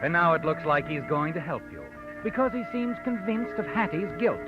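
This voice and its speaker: An American actor playing a sinister, all-knowing narrator in a 1940s radio mystery, insinuating and taunting. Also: male voice, a little high, animated, moderate pace, lightly acted. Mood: sly, knowing. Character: sinister omniscient male narrator addressing the culprit directly. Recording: dull old radio recording, music behind.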